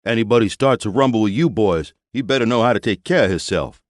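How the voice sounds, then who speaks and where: A man voicing a big, gruff older male space biker with street slang in an audiobook. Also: lowish voice, lively intonation, fast, no room sound, clean studio sound, voice pushed up, natural voice. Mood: grudging.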